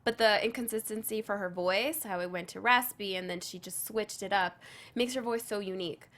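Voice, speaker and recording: A woman with a bright, forward tone on a TV review panel. She mostly agrees with the others and adds her own measured points. Her pitch sits in a middle range for a woman. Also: a little hiss or hum. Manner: agreeable, chimes in with short affirmations. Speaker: co-host of a TV after-show. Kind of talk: casual panel chat.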